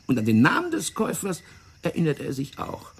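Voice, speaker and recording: An actor in a German radio play playing a fat, wily, self-righteous French intelligence officer, explaining things in a theatrical, condescending way. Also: male voice, fairly high pitch, huge pitch swings, fairly quick, slightly rough, exaggerated voice. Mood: calculating, insistent. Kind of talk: German radio drama acting.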